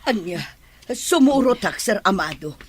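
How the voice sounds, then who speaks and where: A woman's voice: medium pitch, animated, a little slow, a little hiss or hum, close to an everyday voice. A woman acting as an anxious, deferential housemaid in an Ilocano radio drama, speaking with an Ilocano accent and a respectful, worried manner. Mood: anxious, pleading. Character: anxious, deferential housemaid fretting over Dorina. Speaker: voice actor in drama podcast.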